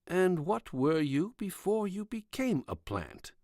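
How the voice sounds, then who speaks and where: Man reading as a gentle doctor, lively pitch swings. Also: no echo, clean studio sound, exaggerated voice. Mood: gently probing. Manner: gentle, probing, measured. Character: gentle, puzzled military doctor questioning a patient. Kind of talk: audiobook character dialogue.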